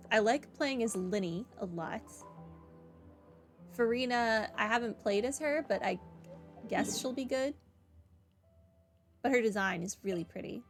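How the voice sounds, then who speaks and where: A woman with a fairly high voice hosts a game playthrough. She chats casually and reads on-screen text aloud, with game music playing behind her. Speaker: gaming video host.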